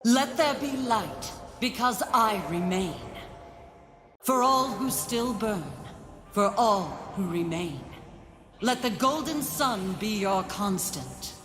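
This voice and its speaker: A woman's voice: mid-range voice, lively intonation, takes it slowly, a bit bright. A woman playing a proud, resolute warrior goddess of the sun in a fantasy game, declaiming with command. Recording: echoing hall reverb over music.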